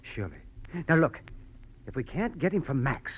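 A man's voice: mid-range voice, sing-song, moderate pace, natural voice. Casting: earnest male investigator played by an actor, emphatic and polished, on a dull vintage radio recording. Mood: insistent. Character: earnest, excitable male lawman hiding a crooked streak. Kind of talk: classic radio drama acting. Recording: muffled noisy old radio recording.

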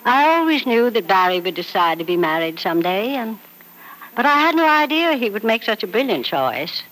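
A woman's voice: mid-range voice, big pitch swings, normal speed, a bit bright, low-quality recording. An actress playing a frank, doting, wealthy elderly mother in an old radio soap, speaking with emphatic, theatrical affection. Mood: affectionate. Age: older. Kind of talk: 1940s radio soap opera acting. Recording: old radio drama recording.

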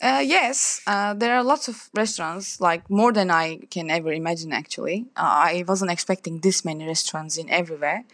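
A woman's voice: lowish voice, a little fast, hardly any pauses, fairly bright tone, no room sound. A young woman chef with a Turkish accent, speaking English as a second language in a casual interview, hesitant with many fillers and light laughs. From Turkey.

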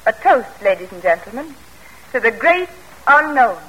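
An actress playing a poised, tactful young daughter of a politician in theatrical 1940s radio drama style. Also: female voice, a little high, very animated, normal speed, fairly bright tone, a little hiss or hum, rough recording. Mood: gracious, proclaiming. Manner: gracious, formal, theatrical. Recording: vintage radio broadcast, rough and worn.

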